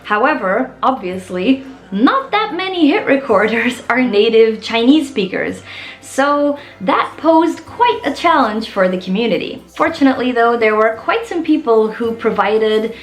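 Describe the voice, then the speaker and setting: Flemish-accented woman, native Dutch speaker, a relaxed and chatty video creator, voice a bit high, echoey room sound. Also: a little slow. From Belgium (Flanders). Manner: chatty, friendly, with frequent "you know" fillers. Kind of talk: casual behind-the-scenes talk to camera.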